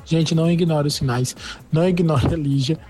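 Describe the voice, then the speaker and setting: A man from sends a voice message to a radio show. His voice sits a little high but sounds mellow. He tells his story in a chatty, confiding, expressive way. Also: moderate pace, faint music behind. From Brazil. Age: 20s. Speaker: listener sending a voice message. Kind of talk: recorded voice message.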